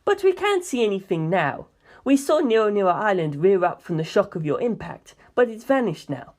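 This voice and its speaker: A woman voicing a composed, dryly evasive male mafia member in a measured, matter-of-fact way for a story reading. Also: mid-range voice, sing-song, darkish tone, close to an everyday voice.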